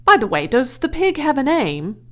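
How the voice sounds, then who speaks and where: A woman voicing an earnest, authoritative male country minister in a storybook, with a formal, measured delivery. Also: sits high, huge pitch swings, fairly bright tone, pitched above the performer's own voice, exaggerated voice. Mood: grave, earnest.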